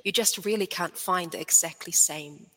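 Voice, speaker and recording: Bright-toned, hesitant New woman. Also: lowish voice, normal speed, big-room sound. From New Zealand. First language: Swedish. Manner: thoughtful, hesitant, frequent um fillers. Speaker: storyteller guest. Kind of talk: casual interview chat.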